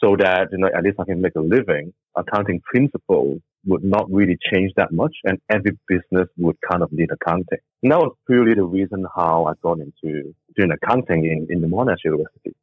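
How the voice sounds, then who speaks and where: Low-voiced man with a Hong Kong accent. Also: quick pace, hardly any pauses, breathy edge, no echo. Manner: easygoing storytelling, thinking aloud. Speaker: guest. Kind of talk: casual interview conversation. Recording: dry tinny phone-like line.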